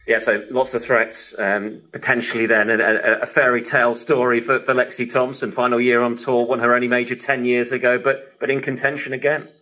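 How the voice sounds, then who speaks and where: A male sports presenter with a bright, forceful voice at an average pitch. He links stories and puts questions to guests on live radio, picked up over shortwave. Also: level tone, a little fast, few pauses, rough recording. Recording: shortwave radio broadcast reception.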